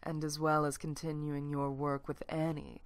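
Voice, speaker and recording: A woman playing a cold, controlling villain in an audio drama, speaking in a condescending, commanding, measured way. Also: low voice, flat delivery, clear, resonant tone, no room sound, lightly acted. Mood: cold, businesslike.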